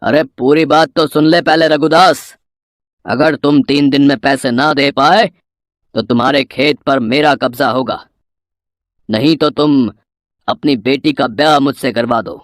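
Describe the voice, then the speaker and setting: A man playing a greedy, domineering village moneylender in an animated moral story, imperious and threatening. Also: mid-range voice, a little slow, dry recording, studio quality, natural voice. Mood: scheming.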